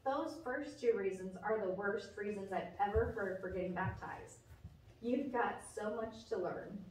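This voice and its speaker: A woman's voice: mid-range voice, a little slow, darkish tone, natural voice. A woman voicing a sensible, earnest, plain-spoken young puppet character in a children's church show. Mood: exasperated. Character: sensible, dry, know-it-all young puppet friend. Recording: echoey, noisy room, distant mic.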